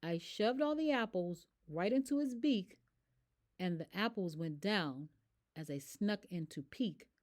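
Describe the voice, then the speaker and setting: A woman voicing an eager, easily exasperated little girl telling a rhyming picture book story in an animated, expressive way. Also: lowish voice, measured pace.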